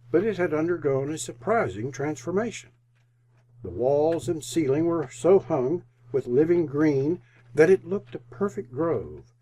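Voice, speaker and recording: A male announcer and narrator in old-time radio style. His voice is mellow and husky, swinging expressively through theatrical, scripted storytelling. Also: mid-range voice.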